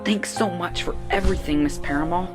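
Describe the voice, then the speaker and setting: A woman playing a bright, modest young boy in an audio drama, polite and questioning, thinking aloud. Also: lowish voice, brisk, music in the background, close to an everyday voice. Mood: polite, unsure. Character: bright, modest, earnest orphan boy taking a test.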